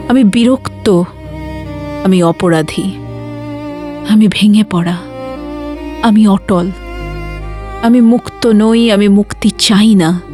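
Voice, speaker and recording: A woman's voice: mid-range voice, warm tone, close to an everyday voice. A woman playing a weary, devoted daughter who cares for her bedridden father, confiding and emotional in an intimate audio drama monologue. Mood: weary, resolute.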